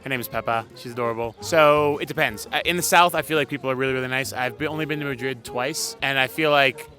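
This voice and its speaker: A man from New York who studies abroad, answering street interview questions in a quick, chatty way. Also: medium pitch, pushed and bright, faint music behind. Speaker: student interviewee. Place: outdoors on a city street.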